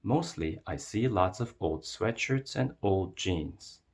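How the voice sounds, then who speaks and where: Slow, low-voiced man reading a storybook. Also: lively intonation, darkish tone, a little hiss or hum. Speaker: read-aloud narrator. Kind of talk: expressive children's storybook narration.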